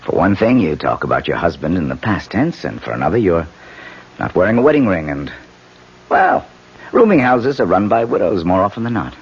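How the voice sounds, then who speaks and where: An actor playing a brash, flirtatious newspaper reporter in a 1970s radio drama, breezy, smooth-talking and overly familiar. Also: male voice, deep voice, sing-song, brisk, full character voice. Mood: cocky. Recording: dull, hissy 1970s radio broadcast recording.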